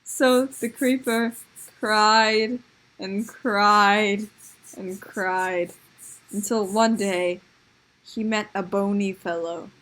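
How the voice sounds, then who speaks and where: A woman improvising comic stories for a puppet show in a giggly, halting way. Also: medium pitch, steady tone, fairly bright tone, poor audio. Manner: giggly, halting, making it up as she goes.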